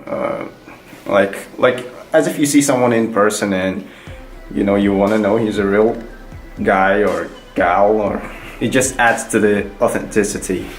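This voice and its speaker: A man's voice: lowish voice, unhurried. A young man, casually explaining things in a tutorial vlog, rambling with many fillers and restarts. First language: Kazakh. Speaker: vlogger. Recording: echoey room with faint music.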